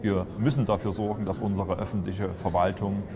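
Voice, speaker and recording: A man's voice: deep voice, steady tone, in a large hall, noisy background. Earnest middle-aged man addressing camera. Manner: earnest, accusatory, slightly halting with fillers. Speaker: politician presenting a party video. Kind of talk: scripted political statement to camera. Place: indoors before a large window.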